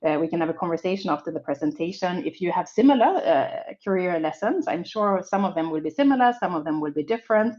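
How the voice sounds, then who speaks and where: A Swedish-accented woman in her forties gives an online conference talk in a fairly low voice. She sounds upbeat and optimistic and tells her story with many small fillers. The audio is a bit dull and lo-fi, like a video call. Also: lively intonation, fast, hardly any pauses, darkish tone. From Sweden. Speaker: conference speaker.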